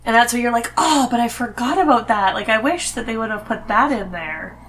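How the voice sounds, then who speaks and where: A woman's voice: on the high side, lively intonation, fairly quick, a bit bright, a little hiss or hum, poor audio. A woman as a podcast guest, chatting casually and telling her views in an emphatic, informal way. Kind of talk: casual conversational chat.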